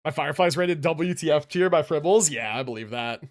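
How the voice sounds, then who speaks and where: A man's voice: fairly high pitch, fairly quick, faint music behind. A man livestreaming a game, commenting casually and answering chat, slangy and sweary as he thinks aloud.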